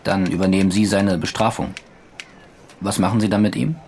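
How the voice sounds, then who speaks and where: An actor playing a formal, relentless police interrogator in a German radio drama, controlled and pointed. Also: male voice, deep voice, fairly even intonation, brisk, a little mellow, a little hiss or hum. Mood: cool, insistent.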